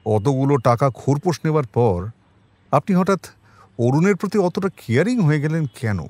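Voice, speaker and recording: A man playing a composed police detective in an audio drama, questioning politely but pointedly in a measured manner. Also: on the high side, animated, darkish tone, dry recording. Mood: probing.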